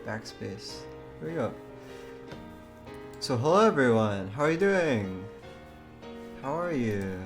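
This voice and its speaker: Guy livestreaming, slow casual chat, kinda giggly n sidetracked, music behind him. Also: medium pitch, big pitch swings. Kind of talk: casual live stream chat. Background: background music.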